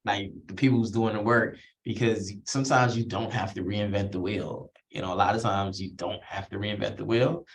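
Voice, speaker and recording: A man's voice: fairly low pitch, normal speed, hardly any pauses. A man hosting an interview who asks long, casual questions and often adds "right?" and "you know". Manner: relaxed, conversational, frequent "right?" and "you know". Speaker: interview host.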